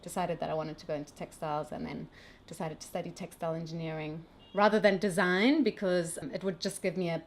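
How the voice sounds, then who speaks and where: A woman's voice: lowish voice, fairly even intonation, fast talker, slight background noise. A middle-aged woman from South Africa, a brand founder, talking through her technical background in a casual interview with frequent restarts.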